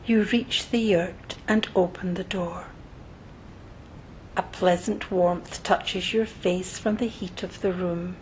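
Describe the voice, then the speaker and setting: A woman narrating a scripted guided meditation in a soothing, measured manner, pausing between short phrases, with soft breathy phrase endings. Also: steady tone, rough recording. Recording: noticeable low rumbling background noise.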